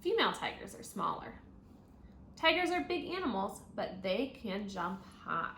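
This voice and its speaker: A woman teacher reading a children's nonfiction book aloud, thinking out loud and guiding young listeners as she goes. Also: medium pitch, big pitch swings, moderate pace. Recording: roomy echo, audible background noise.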